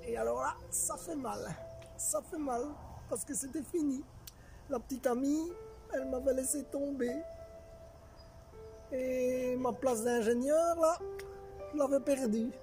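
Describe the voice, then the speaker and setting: High-voiced man sharing testimony over music. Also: measured pace, creaky, long pauses. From Belgium. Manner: expressive, conversational storytelling with rhetorical repetition. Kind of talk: testimony told to camera with acted-out moments.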